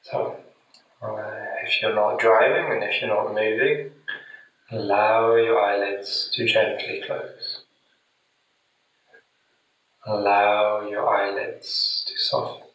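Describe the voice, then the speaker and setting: A man guiding a meditation in a soothing, deliberate manner with long pauses, speaking gently to the listener. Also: fairly low pitch, steady tone, muffled recording. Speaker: meditation guide. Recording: very echoey room, distant mic.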